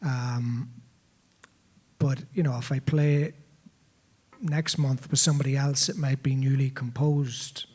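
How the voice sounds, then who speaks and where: A man, a piper on a panel, speaking modestly and calmly with stammered starts. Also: medium pitch, slow pace, long pauses, slightly rough. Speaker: Irish piper, panel guest. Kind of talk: casual panel conversation.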